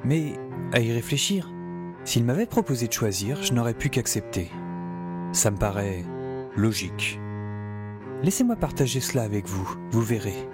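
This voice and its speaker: An actor playing a flippant professor and explorer in an audio drama, wry and informal in narration and dialogue. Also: male voice, medium pitch, big pitch swings, normal speed, pauses often, over music, close to an everyday voice. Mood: wry, reflective. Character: flippant, hesitant male professor and explorer narrating his adventure.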